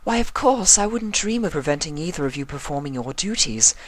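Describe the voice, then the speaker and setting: A man's voice: a little high, expressive, brisk, no echo, pitched above the performer's own voice, lightly acted. A man voicing an elegant, earnest female unicorn pony with refined, formal diction in a fan story reading. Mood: poised.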